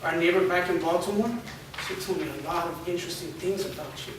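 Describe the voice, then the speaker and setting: A blunt, defiant teenage boy with a high voice, as a man reads him. He swears freely, heard from a distance in an echoey room with background noise. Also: fairly quick, natural voice. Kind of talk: staged reading. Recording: roomy echo, far from mic, noise.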